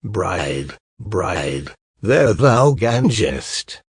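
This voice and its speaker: A man voicing the mournful severed head of a talking horse, chanting in a slow, hushed way. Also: deep voice, very animated, slightly rough, no echo, clean studio sound, pitched below the performer's own voice, heavily acted. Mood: mournful, foreboding.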